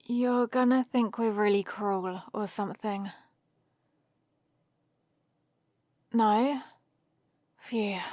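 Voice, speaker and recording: A woman's voice: medium pitch, darkish tone, no echo, natural voice. A woman playing a nerdy, cheerful young heroine in an ASMR roleplay, rambling in a friendly, explaining way to the listener. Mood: gentle, reassuring.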